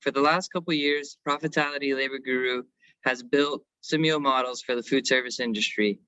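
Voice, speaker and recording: A man's voice: mid-range voice, fairly quick, pushed and bright, clean tone, dry recording. A man giving a semi-scripted technical presentation as an engineering consultant, on a slightly dull recording.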